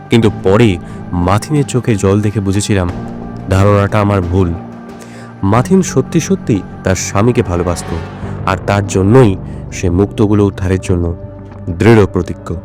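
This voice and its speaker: A man's voice: lowish voice, close to an everyday voice. A man playing a composed, probing detective in an audio drama.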